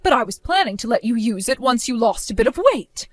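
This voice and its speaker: A woman voicing a stern, belittling middle-aged mother in an animated story, with a cold, scolding manner. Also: fairly high pitch, brisk, dry recording. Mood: contemptuous.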